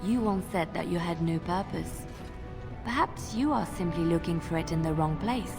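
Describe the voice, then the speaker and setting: An actress playing a gentle, devout young religious sister in a fantasy game, sweet and earnest. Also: female voice, fairly low pitch, normal speed, creaky. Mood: gently encouraging. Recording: game music behind voice.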